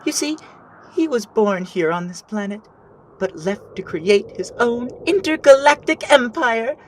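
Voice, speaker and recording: A woman playing a smug, condescending female villain in an animated action story, with theatrical, drawn-out delivery. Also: a little high, a little hiss or hum. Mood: smug, gloating. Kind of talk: amateur animated action voice acting.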